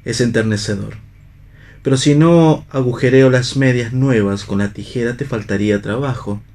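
A man's voice: mid-range voice, moderate pace, darkish tone, natural voice. A man acting a disillusioned, ironic husband in a radio play, speaking in a literary, argumentative way. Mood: somber irony. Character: cynical, pipe-smoking husband, disillusioned and philosophical unbeliever. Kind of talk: staged radio play reading.

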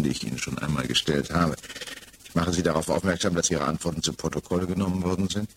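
A stern examining magistrate with a deep voice, putting questions formally and precisely. He is insistent and methodical, in the manner of 1960s radio drama, on a clearly noisy old mono recording. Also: a bit bright. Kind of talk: 1960s German radio play acting.

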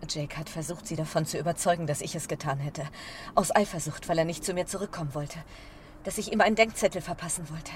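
A woman's voice: sits low, fast, a little hiss or hum, close to an everyday voice. An actress playing an uneasy, defiant woman entangled in a robbery plot in a German radio crime drama, defensive and wavering. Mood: worried.

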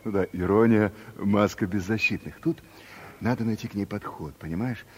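An actor playing a smooth, back-slapping middle-aged careerist boss in a 1970s radio play, hearty and persuasive. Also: male voice, lowish voice, moderate pace, darkish tone. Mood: scheming. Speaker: newly appointed deputy director.

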